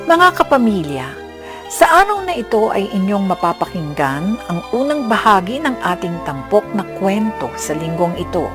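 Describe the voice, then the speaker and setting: A woman's voice: medium pitch, big pitch swings, moderate pace. A woman narrating a radio drama in a scripted, dramatic storytelling style. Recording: music under the narration.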